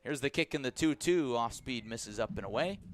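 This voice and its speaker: A man calling baseball live on radio from a press box as play-by-play announcer, with a measured delivery that lifts on each pitch. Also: animated, a little hiss or hum. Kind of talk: live radio sports commentary.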